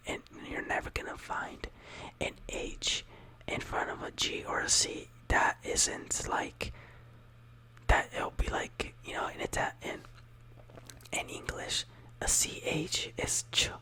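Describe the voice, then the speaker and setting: A speaker whispering a casual ASMR lesson, softly repeating words and rambling with lots of fillers. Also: poor audio. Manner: soft whispering throughout. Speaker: teacher of Italian words.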